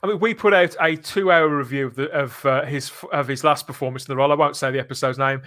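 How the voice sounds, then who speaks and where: A man hosting a live fan podcast, speaking in an earnest, emphatic way with deliberate phrasing. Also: medium pitch, steady tone, bright, forceful tone. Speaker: podcast host.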